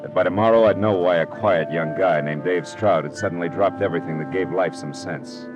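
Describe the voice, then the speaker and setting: A man playing a hard-boiled American private eye with a low voice. He is clipped, wry and world-weary, in the manner of 1940s radio drama. Music sits clearly behind him on an old radio recording. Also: a little fast, close to an everyday voice. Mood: brooding narration. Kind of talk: 1940s radio drama acting and first-person narration.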